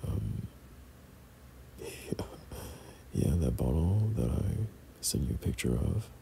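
Young guy doing soft boyfriend asmr, breathy and half whispering, super creaky, some noise in the recording. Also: very deep voice, very animated, takes it slowly. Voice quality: breathy, often dropping to a whisper. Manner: tender, hesitant, softly chuckling. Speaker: boyfriend ASMR audio performer. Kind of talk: intimate boyfriend roleplay ASMR.

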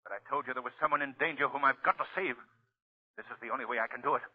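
An actor playing a commanding, taunting invisible crime fighter in a 1930s radio drama. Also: male voice, mid-range voice, rapid-fire, husky, close to an everyday voice. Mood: urgent commanding. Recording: muffled, scratchy 1930s radio recording.

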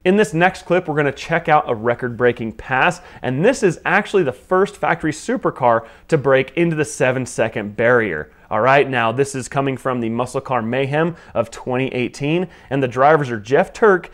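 Casual male presenter, medium pitch. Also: moderate pace, few pauses. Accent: American. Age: 30s. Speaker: show host. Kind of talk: casual scripted presenting to camera. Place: small indoor garage studio.

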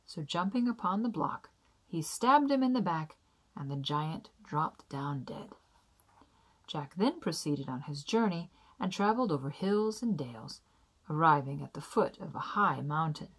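A young adult woman reading a children's fairy tale aloud in a deliberate, careful storybook manner. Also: sits low, animated, slightly rough. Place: small indoor room.

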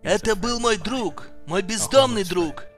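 A man's voice: sits high, expressive, unhurried, a bit bright, soft background music. A man dubbing a gamer, telling mock-solemn spooky tales with dry jokes. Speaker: voice-over dubber of a gaming group. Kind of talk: comic gaming chat dub.

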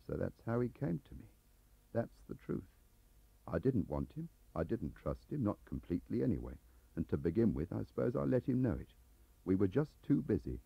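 A man's voice: fairly low pitch, big pitch swings, normal speed, gravelly, breathy edge, no room sound, full character voice. A man voicing an aggrieved, lonely middle-aged English clerk who rambles defensively, in an audiobook on a dull cassette recording.

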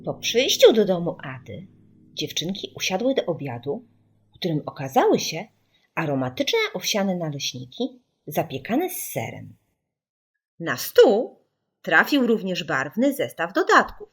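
A woman reading a children's audiobook as an expressive storyteller, putting dramatic emphasis on key words. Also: fairly low pitch, unhurried, husky.

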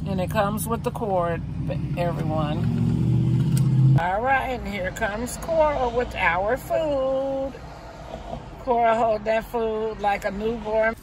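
A woman's voice: a little high, slow, background noise. A woman in her forties with a Southern US accent, chatting casually to viewers from her car and calling them besties. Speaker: vlogger. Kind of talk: casual car vlog chat. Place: inside a parked car, outdoors around. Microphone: phone camera on dashboard holder.

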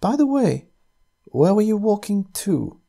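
A man reading aloud, voicing a soft-spoken, teasing young woman who pilots a flying ship in a storybook. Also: high voice, sing-song, unhurried, creaky, voice pushed up, exaggerated voice. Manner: soft, lilting, playfully condescending.

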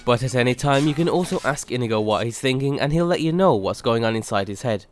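A man narrates a scripted game mod spotlight at a brisk clip. His pitch is mid-range and his delivery explanatory, with faint noise in the recording. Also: lively intonation.

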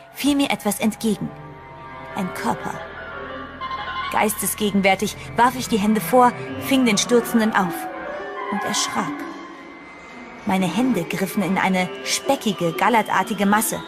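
A woman's voice: mid-range voice, close to an everyday voice. An actress playing a frightened heroine who recounts her ordeal in dramatic, suspenseful first-person narration for a horror audio drama. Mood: dramatic, shaken.

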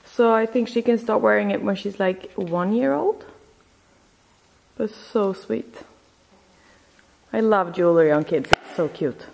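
A woman's voice: mid-range voice, unhurried, vocal fry, long pauses, a little mellow, low-quality recording. A young woman with a Swedish accent chatting casually to her handheld camera as a vlogger. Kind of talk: casual vlog chat.

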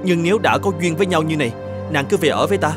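An actor playing a kind, humble young peasant, earnest and conciliatory, in fairy-tale dubbing. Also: male voice, on the high side, racing, natural voice. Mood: earnest, reassuring. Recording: music behind the voice.

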